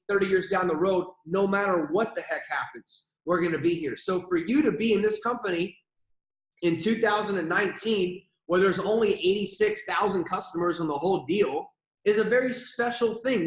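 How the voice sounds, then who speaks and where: A young man giving an energetic, repetitive motivational pep talk to his team as a network marketing leader. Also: high voice, reverberant, rough recording.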